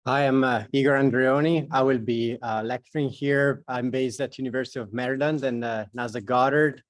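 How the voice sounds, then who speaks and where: Male lecturer, mid-pitched, casual self-introduction, very dry sound. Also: fairly even intonation, a little fast, dry recording. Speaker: researcher and lecturer.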